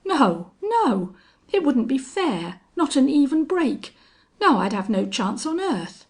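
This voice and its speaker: A woman voicing a cowardly, blustering young man in a western audiobook, excitable and prone to stammering. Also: mid-range voice, a little slow, gravelly, husky, heavily acted. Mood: alarmed, stammering. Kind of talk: audiobook character acting.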